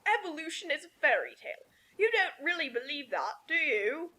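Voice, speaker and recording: Casting: woman to play a pious, preaching man, high and bright, earnest, for a story parody. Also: big pitch swings, moderate pace, full character voice. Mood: dismissive. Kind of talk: dramatic reading of fan fiction.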